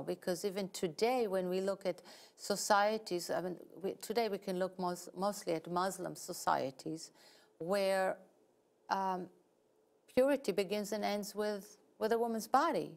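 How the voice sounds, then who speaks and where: A novelist from Israel with an Israeli accent, a woman explaining her work in an interview in a measured, precise way. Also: medium pitch. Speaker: guest novelist. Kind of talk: interview answers.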